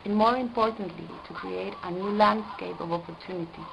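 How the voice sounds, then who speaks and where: A woman from Costa Rica, an environmental specialist, speaking in an earnest, deliberate way and stressing key words. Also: mid-range voice, vocal fry, muffled recording, background noise. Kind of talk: prepared video statement.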